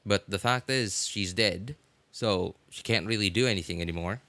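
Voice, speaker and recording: A man's voice: fairly low pitch, moderate pace, sharp tone, no room sound. A man giving casual, rambling livestream commentary, hesitantly thinking aloud and often rephrasing himself as he goes. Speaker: game livestreamer.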